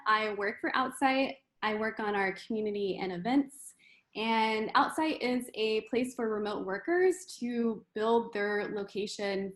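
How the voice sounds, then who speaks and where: A woman with a fairly high voice acts as host of an online panel. She introduces and moderates as a community manager would. Her voice comes through with noticeable room echo. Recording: roomy, echoing sound.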